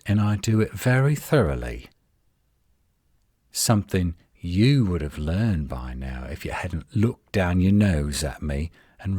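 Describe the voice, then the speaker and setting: A man playing a defensive, flirtatious tsundere werewolf in a roleplay audio drama, indignant and sarcastic with a husky voice. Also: deep voice, a little mellow, no echo, clean studio sound, exaggerated voice. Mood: smug, flirtatious.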